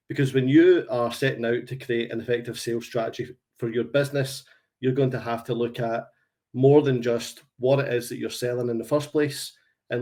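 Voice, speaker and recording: A man's voice: medium pitch, a little mellow. Glaswegian man presenting a sales webinar. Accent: Glaswegian Scottish. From Glasgow, Scotland. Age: around 40.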